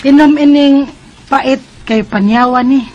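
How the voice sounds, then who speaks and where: A woman's voice: high voice, a little slow, darkish tone, highs cut off. A woman playing an insistent, scolding mother of a teenage girl in a Bisaya radio drama, with a Cebuano Filipino accent. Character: insistent mother of a teenage daughter, pushing bitter remedy.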